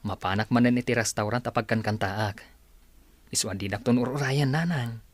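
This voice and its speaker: Guy playing a dutiful son in an audio drama, calm and respectful, mid pitched, reassuring. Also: moderate pace, no room sound, close to an everyday voice. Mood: calm, earnest. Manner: calming, respectful, earnest. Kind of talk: Ilocano audio drama acting.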